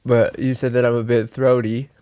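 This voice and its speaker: A man's voice: medium pitch, level tone, normal speed, mellow, clear, resonant tone, dry recording. A young man with a nasal, throaty voice, joking around and rambling as a podcast co-host. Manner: joking, self-mocking, rambling with fillers. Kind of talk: casual chat.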